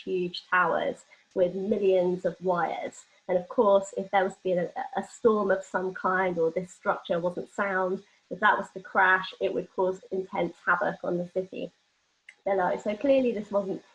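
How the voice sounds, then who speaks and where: A woman presenting an explanatory workshop lecture, talking informally and illustrating points with everyday examples. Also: on the low side. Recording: dull, lo-fi band-limited audio.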